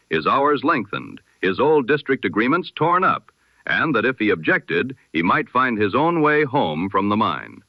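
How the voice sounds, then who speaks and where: A low-voiced man reads the news in the solemn, measured style of an old-time radio broadcast. His pitch rises and falls widely through each sentence. The sound is dry, close and muffled, like a lo-fi radio recording. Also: no room sound. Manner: measured, solemn announcer cadence. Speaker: newsreader.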